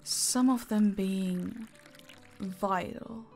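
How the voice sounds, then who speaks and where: A woman playing a dominant mafia boss in an audio roleplay, menacing and teasing, coolly controlled and condescending, often hushed and breathy. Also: mid-range voice, extremely slow, faint music behind. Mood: calm, condescending. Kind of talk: intimate audio roleplay acting.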